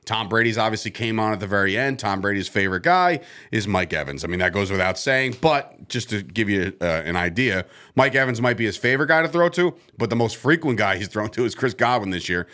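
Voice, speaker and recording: Male co-host, lower register, loud and excitable sports chatter. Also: fairly quick, few pauses. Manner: loud, laughs often, excitable. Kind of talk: casual sports chat.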